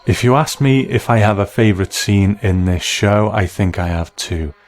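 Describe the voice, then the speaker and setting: A man's voice: on the low side, normal speed. A man hosting a scripted retrospective, giving appreciative commentary on a classic show. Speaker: host. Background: music.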